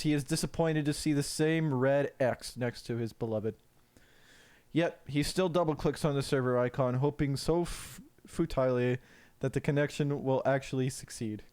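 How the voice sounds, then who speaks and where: A man's voice: on the high side, steady tone, normal speed, a little mellow, clear, resonant tone. A man reading a scripted story aloud as narrator, in a theatrical, mock-solemn dramatic style.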